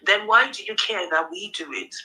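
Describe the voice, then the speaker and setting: Woman, from Cameroon, live caller, persistent questioning, bright lively voice. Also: mid-range voice, moderate pace, low-quality recording. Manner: persistent, keeps pressing her question. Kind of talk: live call-in argument.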